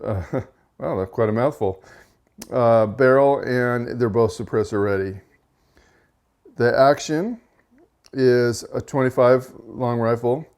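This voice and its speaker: A man with a monotone, mid-pitched voice talks through gear in a relaxed, casual review. He pauses on fillers and corrects himself as he goes, and keeps an even delivery. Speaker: rifle reviewer and channel host.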